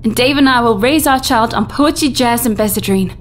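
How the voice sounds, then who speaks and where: An actress playing an earnest, fed-up 1950s bohemian housewife, speaking firmly in radio drama style. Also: female voice, brisk, lightly acted. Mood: defiant. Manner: firm, declarative. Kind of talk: retro radio drama acting. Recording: noisy with low rumble.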